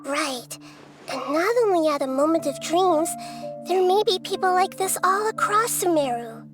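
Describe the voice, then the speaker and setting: A woman voicing a small, wise childlike goddess in a video game, measured and earnest in her delivery. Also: very high voice, animated, music in the background. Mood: thoughtful, grave. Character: small childlike female deity, wise, composed, gentle. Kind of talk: video game character dialogue.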